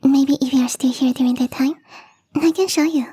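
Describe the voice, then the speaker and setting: A woman playing a shy, playful young catgirl gardener in an intimate ASMR roleplay, speaking softly and hesitantly in a breathy half-whisper. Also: sits high, fairly even intonation, brisk, lightly acted. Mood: shy, hopeful. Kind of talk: ASMR roleplay, intimate one-sided dialogue.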